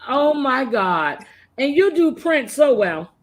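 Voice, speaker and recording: A woman hosting a live comedic recap chat, excitable and gushing as she interviews a guest. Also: high-pitched, slow. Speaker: host.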